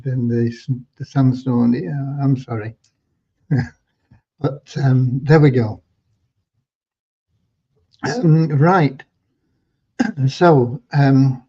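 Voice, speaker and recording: A man with a mellow, slightly hoarse voice hosts an informal online update for members. His delivery is halting and rambling, often doubling back on words. The audio is dull and lo-fi. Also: mid-range voice. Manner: rambling, halting, with hesitant repeats. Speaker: host giving business updates to members.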